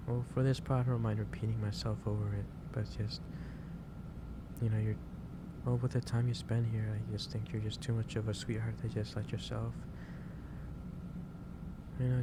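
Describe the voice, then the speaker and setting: A man playing a gentle, caring office boss in an ASMR roleplay, speaking in a halting, reassuring way. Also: fairly low pitch, close to an everyday voice. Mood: gentle concern. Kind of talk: improvised ASMR roleplay, one-sided conversation. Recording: steady loud background noise.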